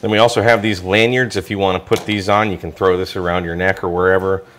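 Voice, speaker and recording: A man's voice: deep voice, little pitch movement, fast, fairly bright tone, faint music behind. A man talking informally through a product review, explaining things step by step in a casual way.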